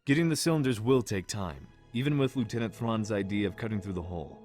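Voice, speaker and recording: An actor playing a persuasive space pirate leader, controlled and wry, in an audio comic dub. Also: male voice, fairly low pitch, fairly quick, a little mellow, soft background music, lightly acted. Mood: controlled, bargaining. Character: smooth-talking, bargaining male space pirate leader.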